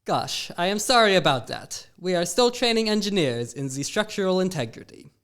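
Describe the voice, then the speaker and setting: A proper, old-fashioned school founder, voiced by a woman, who speaks with genteel formality. Her voice sits low but moves in a lively way. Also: moderate pace, noisy background.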